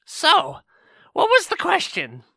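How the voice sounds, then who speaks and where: A woman's voice: very high-pitched, huge pitch swings, slow pace, creaky, sharp tone, full character voice. A woman voicing a young male monkey sidekick, cheeky and chatty, in a cartoon audio drama.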